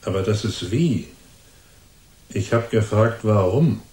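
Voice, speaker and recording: Taunting cold-blooded killer, unhurried, mellow voice, roomy sound, radio crime drama. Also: male voice, fairly low pitch, lively intonation. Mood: cool, mocking. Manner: mocking, drawn-out, menacing taunts.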